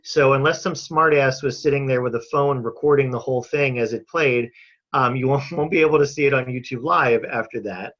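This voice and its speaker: A man talking earnestly and casually as an interview guest on a video call from home, thoughtful and halting with emphatic pauses. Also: medium pitch, a bit bright. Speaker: interview guest, mental health advocate and filmmaker. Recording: slightly dull video-call audio.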